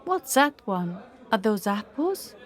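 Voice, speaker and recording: A woman's voice: medium pitch, sing-song, takes it slowly. An actress playing a headstrong young noblewoman in a radio drama, with earnest, dramatic delivery. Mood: concerned, curious.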